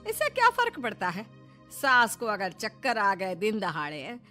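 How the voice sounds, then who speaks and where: A woman's voice: medium pitch, huge pitch swings, unhurried, pushed and bright, faint music behind, pitched below the performer's own voice, full character voice. A woman voicing a scolding, sarcastic middle-aged mother-in-law in a moral story, taunting and nagging. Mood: bitter nagging. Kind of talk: animated Hindi moral story dialogue.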